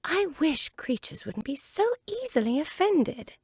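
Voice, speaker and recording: A woman's voice: high-pitched, sing-song, a little slow, no echo, clean studio sound, voice pushed up. A woman voicing a polite, plaintive little girl in a storybook, pleading and wondering with childlike expression. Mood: indignant, pleading.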